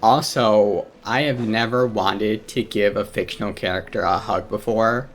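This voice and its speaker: A man's voice: on the low side, unhurried, soft background music. A man giving casual, informal playthrough commentary, rambling along as he hosts a gaming video. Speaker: gaming channel host.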